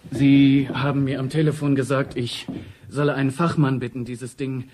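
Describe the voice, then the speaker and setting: A man's voice: medium pitch, flat delivery, moderate pace, darkish tone, slight background noise, lightly acted. A man acting a courteous, melancholy musician with a formal, hesitant manner in a radio play. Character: courteous, melancholy cellist, a bereaved son. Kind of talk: German radio play dialogue.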